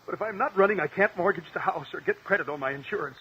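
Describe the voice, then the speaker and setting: An actor playing an anxious crooked American politician in a mid-century radio drama, with a tense, clipped delivery. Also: male voice, sits high, quick pace, muffled recording, lightly acted. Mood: tense, grim. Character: anxious crooked male politician in debt to gamblers. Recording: noisy old radio drama recording.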